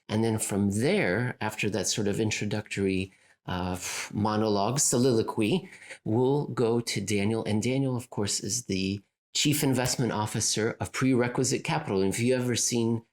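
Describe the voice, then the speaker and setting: A man's voice: on the low side, darkish tone. A man hosting an interview, introducing guests in a formal, fulsome way. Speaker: podcast host.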